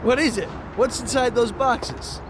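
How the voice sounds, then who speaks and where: An actor playing a cautious young mob driver who speaks in a wary, firm, down-to-earth way. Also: male voice, extremely high pitch, a little slow. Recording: game audio with street noise.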